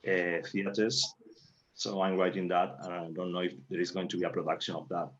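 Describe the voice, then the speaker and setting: A man with a Spanish accent talking on a panel in halting English, searching for words. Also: deep voice, little pitch movement, dull audio. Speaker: playwright, panel guest. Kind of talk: unscripted panel talk.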